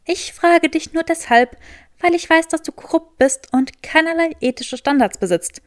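A clever young witch in an audiobook story, acted out by a woman narrator. She is pitched high, curt and stubborn, with a snappish, exasperated edge. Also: moderate pace. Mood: wry, scheming. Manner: curt, sharp-tongued.

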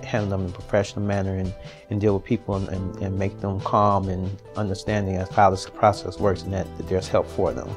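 A middle-aged man in an office interview, speaking haltingly with repeated words and fillers. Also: deep voice. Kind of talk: interview to camera. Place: indoor office.

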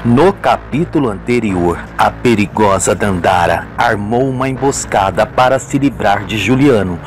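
A man's voice: fairly low pitch, normal speed, low-quality recording. A man narrating a scripted radio soap opera as a dramatic storyteller. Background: music.

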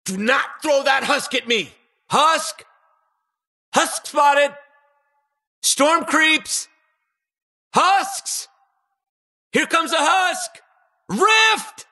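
An actor playing an eager, anxious and boastful toy-inventor hero in a video game, with a husky, slightly awkward delivery. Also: male voice, very high-pitched, lively intonation, drawn-out words, long pauses, sharp tone, full character voice. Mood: urgent, alarmed. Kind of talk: video game battle voice lines.

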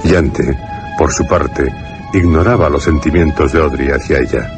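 A man's voice: rumbling low, measured pace. A man narrating a scripted Spanish radio drama as storyteller. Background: music behind the voice.

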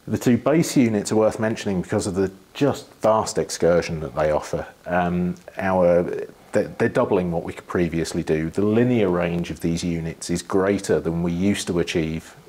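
A middle-aged man with a deep, husky voice explains technical details as an engineer being interviewed. He phrases things thoughtfully, pausing and repeating words for emphasis. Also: darkish tone. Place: living room with wood panelling and large window.

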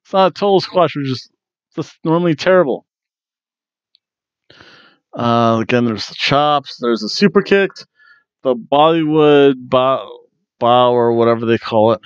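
A man's voice: medium pitch, unhurried, pauses often, clean tone, no room sound, dull audio. A man in his forties hosting a casual wrestling review from a room at home on a headset mic, chatty and jokey.

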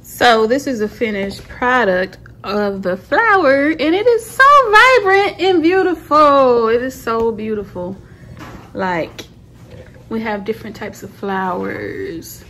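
A woman's voice: fairly high pitch, lively intonation, slow, a bit bright. A woman in her thirties chatting informally in a casual home vlog, recorded indoors on a handheld phone. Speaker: vlogger.

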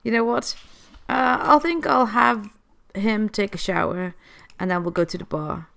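A woman's voice: mid-range voice, unhurried, gravelly, fairly bright tone. A woman with a cold, chatting through a life-sim game and talking to the characters. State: has a cold. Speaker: gameplay host. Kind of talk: casual gameplay commentary.

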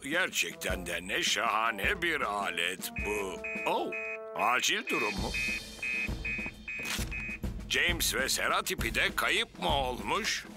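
A man's voice: mid-range voice, sing-song, takes it slowly. An actor playing an older, self-important fire station chief in a children's cartoon, commanding and savouring his words. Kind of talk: animated children's cartoon dub. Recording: music under the dialogue.

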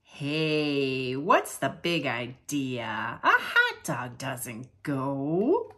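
A woman's voice: a bass voice, big pitch swings, very slow, fairly bright tone, slightly rough, voice pushed down, full character voice. A woman voicing a cocky, argumentative pigeon in a picture book, with a sassy, easily indignant manner. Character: cocky, argumentative cartoon pigeon who loves vehicles.

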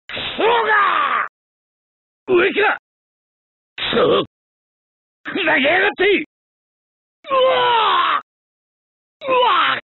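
A man's voice: very high-pitched, sing-song, very slow, gravelly, long pauses, phone-quality sound, a little hiss or hum, full character voice. An actor playing an arrogant, brutal male alien warrior in an anime fighting game, sneering out taunts and combat grunts. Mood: enraged.

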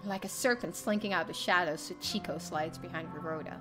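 A woman's voice: on the low side, a little fast. A young adult woman streamer reading a visual novel aloud in dramatic first-person narration. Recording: faint music behind.

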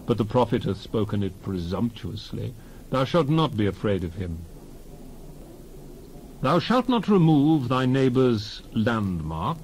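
A man giving a dramatized scripture reading as narrator, solemn and declamatory, in measured phrases. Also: lowish voice, darkish tone, a little hiss or hum, low-quality recording. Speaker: Bible narrator.

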